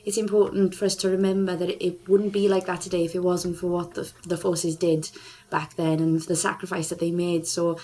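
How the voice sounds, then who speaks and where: A woman's voice: lowish voice, little pitch movement, fairly quick, mellow. A young woman from South Wales, a singer and charity ambassador telling a heartfelt story as a radio interview guest, earnest and full of restarts.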